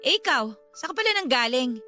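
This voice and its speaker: A woman's voice: sits high, a little fast, soft background music, heavily acted. A woman playing a weary, patient wife and mother in a Filipino radio drama. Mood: suspicious, tired. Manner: polite, expressive.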